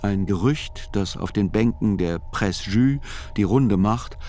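A man giving scripted first-person literary narration in a radio play, measured and reflective. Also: fairly low pitch, dark tone, slight background noise.

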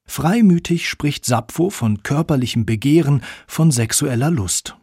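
A man's voice: a little high, animated, measured pace, a little mellow, slightly rough, no room sound, clean studio sound. A man reading scripted narration as the reporter of a radio feature.

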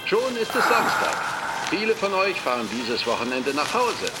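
A man playing a relentlessly cheerful pink rabbit, a campsite animator gushing singsong announcements over a loudspeaker in a radio drama. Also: high voice, big pitch swings, normal speed, loud music behind the voice. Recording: very noisy outdoor loudspeaker sound.

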